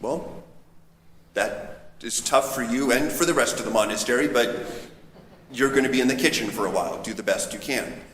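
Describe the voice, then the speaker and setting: A man, a priest, giving a conversational, anecdotal homily in a reflective, somewhat halting manner. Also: mid-range voice, fairly bright tone. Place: large reverberant church interior. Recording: echoey church, long reverb, background noise.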